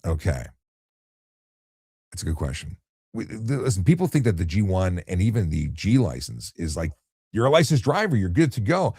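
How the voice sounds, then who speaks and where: A middle-aged man with a low voice, calmly explaining things in a relaxed late-night live chat as he reads out viewer questions. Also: normal speed, slightly rough, no room sound, studio quality. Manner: calm, explanatory, many restarts and fillers. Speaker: retired traffic police officer, live-stream host.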